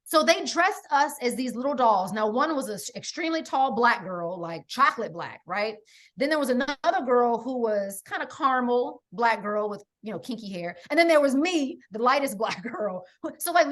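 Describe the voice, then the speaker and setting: A woman's voice: fairly high pitch, animated, moderate pace. A middle-aged American woman guest in a casual conversation, telling stories emphatically with lots of "like" and "right?". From United States. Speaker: podcast guest.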